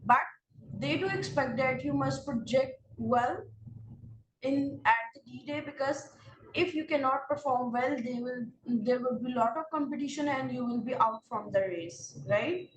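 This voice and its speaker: A woman with a Pakistani English accent, an exam-prep instructor giving earnest, direct advice to candidates and pausing often on fillers. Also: high-pitched, noisy background. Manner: earnest, direct advice in second person, many filler pauses. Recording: roomy, distant mic with faint ambience.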